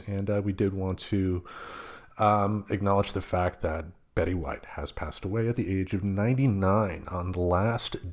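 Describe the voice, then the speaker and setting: A man speaking as a podcast host, giving a casual, informal solo introduction in a halting, conversational way. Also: sits low.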